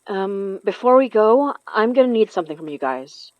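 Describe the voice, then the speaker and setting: A woman playing a steady, protective young spell caster in an audio horror drama, with casual, natural delivery. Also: mid-range voice, moderate pace, no room sound, close to an everyday voice. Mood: earnest. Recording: dry, slightly muffled studio.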